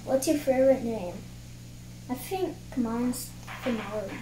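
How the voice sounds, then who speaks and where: A young girl reading a picture book aloud informally, halting over words and adding chatty asides. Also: a little high, lively intonation. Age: child. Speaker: reader and host of a read-aloud video. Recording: noisy, roomy home recording.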